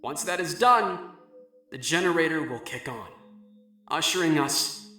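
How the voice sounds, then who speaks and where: Echoing, bright-voiced showman inventor played by a man. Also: on the high side, animated, unhurried, a little hiss or hum. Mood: confident, showy. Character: confident, charming male inventor presenting to the press. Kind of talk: audio drama acting. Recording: roomy, echoing hall sound.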